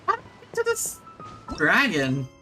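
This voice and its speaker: A woman's voice: mid-range voice, wildly swooping pitch, a little slow. A woman giving casual let's play commentary as a gaming streamer, reacting and thinking aloud as she goes. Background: game music.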